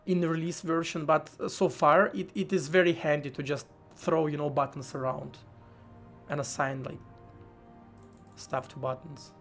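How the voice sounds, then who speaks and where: A man with a slightly high, gravelly voice talks casually on a live stream as he codes. He thinks aloud, stopping and restarting his sentences and leaning on fillers. Soft music plays underneath. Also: little pitch movement, moderate pace. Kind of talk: casual live-stream talk while coding.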